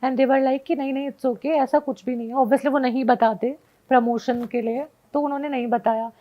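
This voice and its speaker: A woman's voice: high-pitched, steady tone, moderate pace, dull audio. A woman guest telling a personal story in casual conversation, switching often into English phrases. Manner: mixes Hindi with frequent English phrases.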